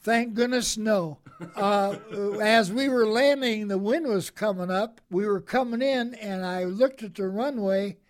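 A man in his eighties, a veteran being interviewed, who talks in a cheerful, soft-spoken, gentle way and chuckles. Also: high voice, measured pace. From American Midwest. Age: 80s. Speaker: Korean War veteran guest. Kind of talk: interview answers.

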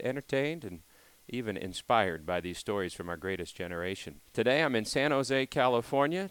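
A man's voice: on the low side, a bit bright, clear, resonant tone, dry recording. A man hosting a veterans program, earnest and patriotic, with light humor as he interviews. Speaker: show host, interviewer. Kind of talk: scripted introduction, then interview.

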